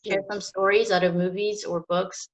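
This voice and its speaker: A woman's voice: medium pitch, little pitch movement, moderate pace, low-quality recording. A woman hosting a livestream, politely prompting speakers to introduce themselves. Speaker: livestream host. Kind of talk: moderating a livestream. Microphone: video call.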